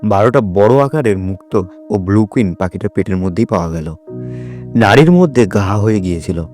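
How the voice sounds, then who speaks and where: A man's voice: fairly low pitch, lively intonation, moderate pace, darkish tone, soft background music. A man narrating a story for an audio drama in a scripted, dramatic storytelling style.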